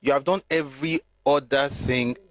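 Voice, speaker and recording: A man's voice: medium pitch, steady tone, moderate pace. A middle-aged man with a Nigerian accent, hosting an emphatic, argumentative opinion monologue with frequent restarts. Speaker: host.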